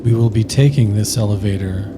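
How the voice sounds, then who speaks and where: A man narrating a scripted guided sleep meditation slowly and soothingly, with long pauses. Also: lowish voice, noisy background.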